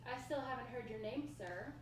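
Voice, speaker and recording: A woman's voice: on the high side, moderate pace, natural voice. A woman in a table read playing a stern, suspicious housemaid with formal, curt phrasing. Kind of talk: amateur screenplay table read. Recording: echoey room, distant mic, faint noise.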